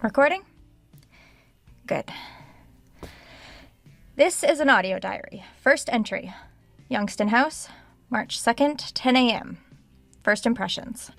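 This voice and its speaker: A woman's voice: a little high, expressive, slow pace, gravelly, pauses often, dry recording, faint music behind, full character voice. An actress playing a curious, determined woman writer, polite and dryly wry, in an audio drama. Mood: wry, reflective. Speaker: writer investigating a haunted house. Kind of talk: audio drama acting, diary narration.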